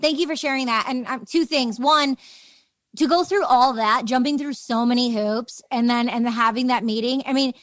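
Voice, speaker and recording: A woman's voice: a little high, moderate pace, no echo. A woman hosting an interview, speaking in a casual, reflective, conversational way. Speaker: podcast host, interviewer.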